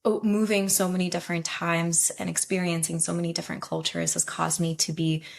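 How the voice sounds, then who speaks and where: A young adult woman from Ukraine, a travel consultant, answering interview questions in a relaxed, hesitant way with many ums and restarts. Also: fairly low pitch, fairly quick, gravelly. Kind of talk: casual interview conversation.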